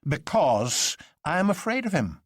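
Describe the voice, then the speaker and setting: Storybook hero, young man played by a male reader: reckless, boisterous, declamatory, pitched up with big swoops. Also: sing-song, unhurried, slightly rough, dry recording, studio quality, exaggerated voice. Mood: defiant. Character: excitable, reckless young man sworn to defy fear. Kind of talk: dramatic storybook reading.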